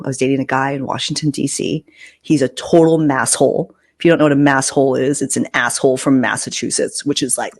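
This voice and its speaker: Candid woman telling casual personal stories. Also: deep voice. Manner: candid, informal, swears freely. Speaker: podcast host.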